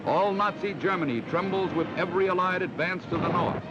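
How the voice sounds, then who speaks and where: High-pitched 1940s American newsreel man. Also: brisk, heavy background noise. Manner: dramatic, emphatic declamatory delivery. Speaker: newsreel narrator. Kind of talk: 1940s newsreel narration, scripted. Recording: dull vintage 1940s mono film soundtrack. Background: loud music.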